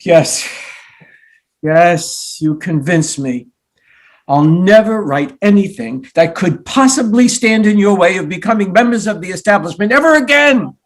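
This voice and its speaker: A man plays a young playwright son in a staged play reading. He is bitter and sarcastic, speaking slowly with big swings in pitch. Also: expressive. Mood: bitter, resigned. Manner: deliberate, sarcastic.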